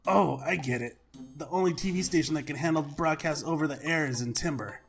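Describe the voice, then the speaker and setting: A man's voice: on the high side, a little fast, soft background music, natural voice. A man voicing an eager, talkative young mercenary in an amateur video game dub, casual and explanatory. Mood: eager, explaining.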